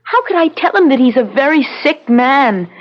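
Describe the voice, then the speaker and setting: A woman's voice: high voice, expressive, moderate pace. An actress playing a caring, worried young woman who is earnest and tender, in a radio drama. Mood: anguished. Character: young woman, caring girlfriend hiding a painful truth. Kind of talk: 1970s radio drama acting. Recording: dull lo-fi old radio recording.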